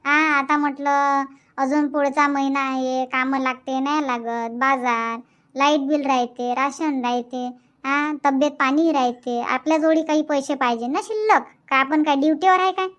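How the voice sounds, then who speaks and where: A sarcastic, grumbling village wife played by a woman in a cartoon comedy. Her voice is extremely high, almost childlike, with a Varhadi Marathi accent. She rambles on in resentful, thrifty complaint. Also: steady tone, moderate pace, a bit bright, muffled recording. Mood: complaining. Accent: Varhadi Marathi from Vidarbha. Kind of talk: dubbed cartoon comedy dialogue.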